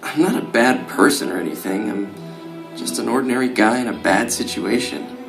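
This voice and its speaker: A man's voice: on the low side, moderate pace, vocal fry. An actor playing an anxious, self-pitying young man in a motion comic, with a whiny, nervous way of talking. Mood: bitter, self-pitying. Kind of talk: motion comic voice acting with narration. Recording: roomy sound with music underneath.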